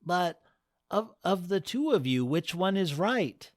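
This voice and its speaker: An earnest young groom, worried and short on patience, speaking slowly. A storyteller voices him in a storybook reading. Close and dry. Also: mid-range voice, very animated, no echo, voice pushed up.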